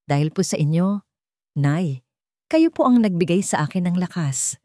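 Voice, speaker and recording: A young heroine of a love story, acted out by a woman narrator, gentle and grateful. She speaks politely and earnestly in a soft manner, recorded dry. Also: on the low side, animated, normal speed, no echo, close to an everyday voice.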